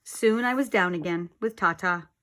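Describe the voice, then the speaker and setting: A middle-aged woman, an expressive storyteller reading aloud for young children. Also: fairly low pitch, normal speed.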